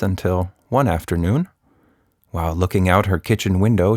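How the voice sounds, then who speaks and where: A man's voice: lowish voice, no room sound, studio quality. A man narrating a scripted children's story as a podcast storyteller.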